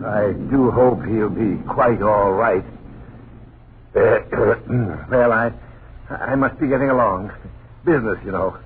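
Fussy, flustered landlord on muffled old radio. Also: male voice, on the low side, takes it slowly. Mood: nervous, hurried. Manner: fussy, repetitive, stammering, self-important. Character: nervous, fussy, flustered male landlord of a small rental firm. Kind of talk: 1930s radio horror drama acting. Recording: muffled noisy 1930s radio broadcast.

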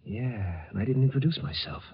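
A man's voice: medium pitch, animated, a little fast, breathy edge. An American man playing a nervous, earnest young fellow in an old radio drama, hesitant in his delivery. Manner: hesitant, jittery. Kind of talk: 1950s radio drama acting. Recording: muffled, hissy old radio recording.

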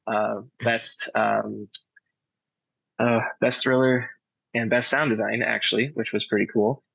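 A man's voice: on the low side, steady tone, unhurried, vocal fry, fairly bright tone, husky, no echo. A man chatting casually in an interview as a director, modest and understated, on a dull lo-fi recording. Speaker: audio drama director and sound designer. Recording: dry, dull lo-fi sound.

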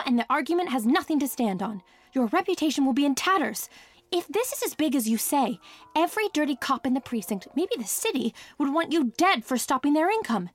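A woman playing a brilliant, combative young student and sweetheart in a noir audio drama, talking in an arch, precise, articulate and teasing way. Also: sits high. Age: young adult.

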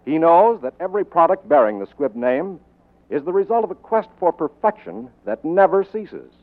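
A man announces in the formal, polished style of 1940s radio. His fairly high voice moves widely in pitch as he reads scripted copy. The sound is thin and tinny, like an old broadcast. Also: expressive, normal speed.

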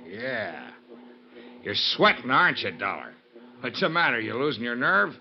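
A man's voice: mid-range voice, sing-song, normal speed, gravelly, slight background noise. An actor playing a smug, menacing male crook in a 1950s radio drama, gloating and taunting. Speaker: villain. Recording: dull old radio broadcast.

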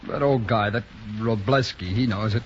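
A man's voice: lowish voice, normal speed, close to an everyday voice. An actor playing a surly, defiant young male hoodlum in a radio drama, talking in sullen, defensive slang. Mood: defiant, protesting. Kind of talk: 1950s radio drama acting. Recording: dull, faintly noisy old radio recording.

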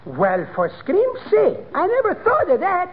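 Casting: comic Greek diner owner, fractured English, lively swings in pitch, muffled vintage radio sound with audience. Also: male voice, measured pace, full character voice. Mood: earnest, comic. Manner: malapropisms, fractured grammar. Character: excitable Greek restaurant owner mangling English. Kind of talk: 1940s radio comedy acting. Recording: muffled noisy old radio, studio audience.

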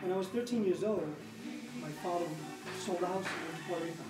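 A man's voice: high voice, quick pace. A middle-aged man from the Midwestern United States speaking as an interview guest, telling personal stories conversationally and repeating phrases for emphasis. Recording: echoey, distant mic with music underneath.

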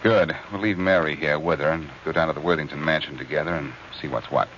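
Low-voiced, wry radio detective. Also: male voice, fairly even intonation, fairly bright tone. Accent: American. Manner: brisk, commanding, wry. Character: cool, decisive, wry amateur detective. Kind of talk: 1940s radio acting. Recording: muffled old radio, crowd-like background noise.

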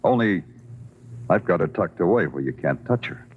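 An actor playing a hard-boiled, sardonic New York police detective in a 1950s radio crime drama, with tough, clipped delivery. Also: male voice, low-pitched, lively intonation, normal speed, very noisy. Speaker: lead actor and narrator. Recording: dull old radio recording with music.

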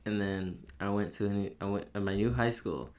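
Relaxed young man joking with a friend. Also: fairly low pitch, fairly quick, far from the mic. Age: young adult. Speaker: podcast co-host. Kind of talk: casual chat.

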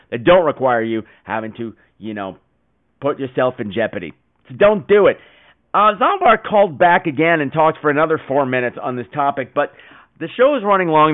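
A man's voice: fairly high pitch, phone-quality sound. A man hosting a podcast with casual commentary between listener calls, playfully chiding and given to dramatic emphasis. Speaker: podcast host.

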